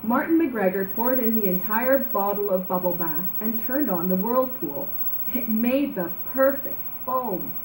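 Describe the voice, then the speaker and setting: A woman narrating a children's story aloud in an animated, dramatic way, drawing out words for emphasis. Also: on the high side, measured pace. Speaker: storybook reader. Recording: roomy echo, background noise.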